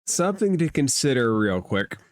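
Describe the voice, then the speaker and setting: A man with a medium-pitched voice works as game master for a tabletop session. He narrates casually and explains things conversationally. The sound is very dry, with hardly any room in it. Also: very animated, normal speed, dry recording.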